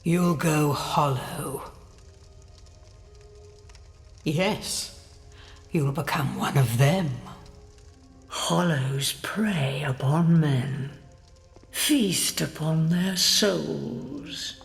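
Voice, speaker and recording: Cackling old crone, very low voice, gravelly, echoing hall, faint music. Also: female voice, lively intonation, heavily acted. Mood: eerie mocking. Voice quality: gravelly, slow and drawn out. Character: cackling old crone keeper, eerie, mocking.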